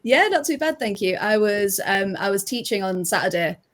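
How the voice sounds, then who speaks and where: A woman's voice: mid-range voice, expressive, normal speed. Cheery woman host chatting with her panel, laughs a lot, lots of ums. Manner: upbeat, laughs often, frequent ums. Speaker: podcast host. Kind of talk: casual fan podcast chat.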